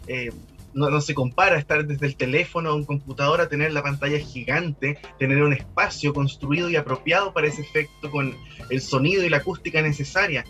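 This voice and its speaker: A man with a Chilean accent and a fairly high voice talks as an interview guest. He explains things at length in a conversational way, doubling back on words as he goes. Faint music plays behind him. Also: sharp tone. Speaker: guest expert on local architectural history.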